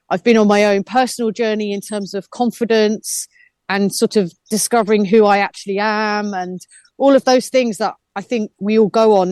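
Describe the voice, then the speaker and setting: A woman's voice: mid-range voice, darkish tone, no room sound. A woman in her fifties, a reflective, chatty guest on a radio interview who laughs easily. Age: 50s.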